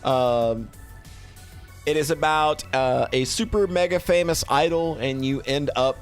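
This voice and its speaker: A man streaming game reviews in a casual, rambling, expressive and effusive way, talking to a live chat and swearing casually. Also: on the high side, a little slow, faint music behind.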